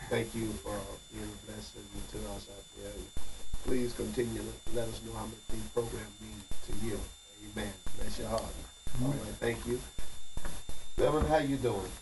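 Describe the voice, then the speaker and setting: A man's voice: fairly low pitch, fairly even intonation, normal speed. A middle-aged man hosting a church talk program in a casual, affirming way with short interjections. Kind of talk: casual religious talk show chat. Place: small wood-paneled indoor room. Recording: noisy, distant pickup in a room.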